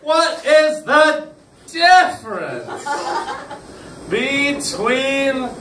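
A young male comedian delivers slow, halting one-liners in a deadpan way, with his pitch swinging up and down. The sound is distant and roomy, as if caught from the back of a small club. Loud room noise sits under his voice. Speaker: stand-up comedian. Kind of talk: deadpan stand-up set. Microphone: stand mic, filmed from the back of the room.

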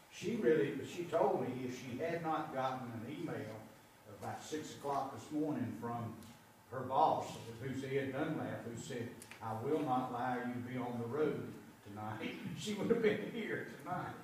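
A man's voice: medium pitch, normal speed, darkish tone. A man chairing a school board meeting, telling rambling stories and calling on members. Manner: rambling anecdotal storyteller. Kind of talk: meeting discussion. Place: large meeting room. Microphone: far camera. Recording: echoey room from far off, background noise.

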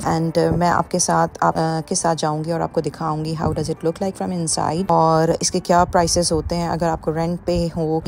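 A woman's voice: deep voice, a little mellow. A woman vlogger talking her viewers through a show home, chatty and full of fillers as she walks with her phone. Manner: chatty, many fillers, code-switching into English. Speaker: vlogger giving a home tour. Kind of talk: casual vlog narration. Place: indoors in a show home, walking room to room. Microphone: phone held in hand.

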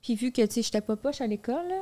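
A woman in her thirties with a Quebec French accent, a podcast guest talking candidly and laughing easily, recorded on a boom-arm studio mic in a small studio. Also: very fast, warm tone. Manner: candid, laughs easily while telling stories. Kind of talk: casual chat. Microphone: studio microphone on a boom arm.

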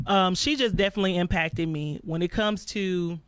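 A young woman from talks about her singing, upbeat and quick to laugh. Her voice comes across smooth and resonant. It is dry and close on a desk mic in a small studio. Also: fairly low pitch, no echo. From Houston, Texas. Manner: upbeat, warm, laughs easily. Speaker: singer, interview guest. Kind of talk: casual interview chat. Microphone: desk mic on a stand.

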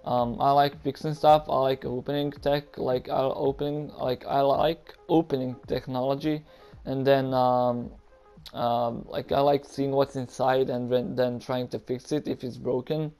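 A man's voice: medium pitch, flat delivery, unhurried, warm tone, faint music behind. A young man from Croatia with a Croatian accent, hosting a casual Q and A over game footage, hesitant and nervous with many ums and likes. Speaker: gaming YouTuber.

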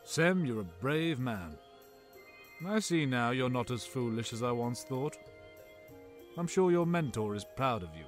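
A man playing a king's loyal, earnest companion in an audiobook, speaking formally and deliberately. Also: medium pitch, sing-song, soft background music. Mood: approving. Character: loyal, earnest, weary royal companion of a king.

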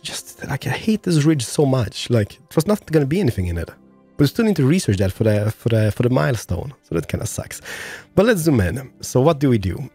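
Hoarse, excitable man commenting gameplay. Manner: excitable, jumps to high squeaky exclamations. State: hoarse, losing his voice. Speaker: gaming channel host.

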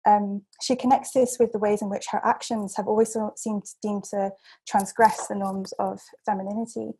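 A young woman presenting an academic paper over a video call, measured and careful, with frequent ums and self-corrections. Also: mid-range voice, little pitch movement, dark tone, slight background noise, low-quality recording. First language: English. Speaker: seminar speaker.

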